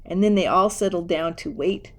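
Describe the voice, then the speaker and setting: A woman reading a children's picture book aloud in an expressive way, with casual asides. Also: on the low side, normal speed. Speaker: storybook reader.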